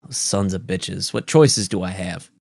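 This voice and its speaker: A man's voice: deep voice, a little slow, gravelly, darkish tone, slightly rough, no echo, studio quality, full character voice. A man voicing a drunk, frail, vicious chemical company boss with a country twang and a coarse, snarling way of talking. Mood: furious.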